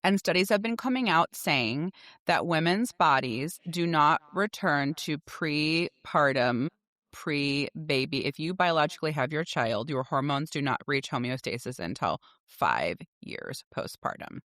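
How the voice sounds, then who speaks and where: A woman's voice: low-pitched, animated, measured pace, a bit bright, no echo, clean studio sound. A woman in her forties co-hosting a podcast in a casual, candid and emphatic chat. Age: 40s. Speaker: podcast co-host.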